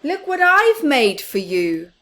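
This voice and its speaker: Woman acting little male mouse, picture-book character, excitable, busy dreamer, raised pitch, very animated intonation. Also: slow pace, heavily acted. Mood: excited.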